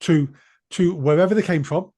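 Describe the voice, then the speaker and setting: A man's voice: a little high, moderate pace, dark tone. A man, a podcast guest speaking bluntly and emphatically, repeating phrases for force. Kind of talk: political podcast discussion.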